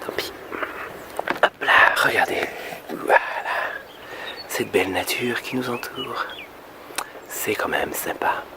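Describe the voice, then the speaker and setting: A man from Canada putting on a European French accent with some Québécois turns, speaking as a singer and vocal coach in a casual, humorous vlog, mock-earnest and halting as he drifts into asides. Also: lowish voice, lively intonation, noisy background. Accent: French, deliberately European French with some Québécois turns.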